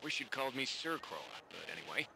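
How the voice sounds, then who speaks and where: A man playing an excitable, self-important informant over a radio in a video game. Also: mid-range voice, fast, gravelly, a little hiss or hum, full character voice, low-quality recording. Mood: urgent. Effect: radio filter. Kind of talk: video game cutscene acting.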